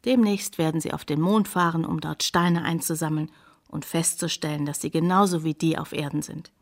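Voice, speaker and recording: An actress playing an exasperated, bitter grown daughter of an old scholar, complaining pointedly in a radio play. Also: female voice, lowish voice, creaky, darkish tone. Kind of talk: German radio play acting.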